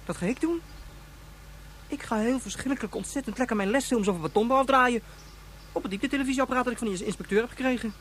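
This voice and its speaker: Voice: mid-range voice, fast talker, fairly bright tone, a little hiss or hum, natural voice. An actor in a radio play playing a petulant, chattering child who speaks emphatically and childishly. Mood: defiant. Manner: childish, emphatic, repeats pet intensifiers. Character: petulant, chattering child, hot-tempered and self-important.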